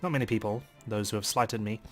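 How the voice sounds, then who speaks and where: Casting: male game narrator, theatrical wry first-person read, quick pace, music underneath. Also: on the low side, a bit bright. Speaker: let's play narrator. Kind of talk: dramatic first-person visual novel reading.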